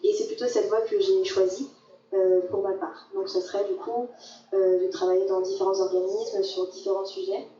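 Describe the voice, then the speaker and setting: A young woman, a master's student, presenting her path in a measured way with fillers. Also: mid-range voice, little pitch movement, dark tone, slight background noise. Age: young adult. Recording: dull roomy sound from far off.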